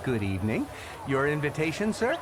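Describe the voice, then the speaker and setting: A man's voice: fairly high pitch, huge pitch swings, brisk, noisy background. An actor playing a timid, deferential male gate guard in a video game, polite and nervous.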